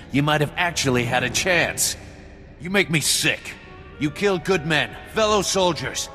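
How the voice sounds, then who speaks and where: An actor playing a bitter, contemptuous renegade soldier captain in a video game, sneering in plain colloquial speech. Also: male voice, mid-range voice, fairly bright tone, lightly acted. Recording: long hall reverb, faint music.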